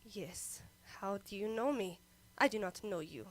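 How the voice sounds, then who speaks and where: A woman's voice: medium pitch, lively intonation, unhurried, close to an everyday voice. A woman playing a frightened young princess in melodramatic adventure serial radio style. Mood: anxious.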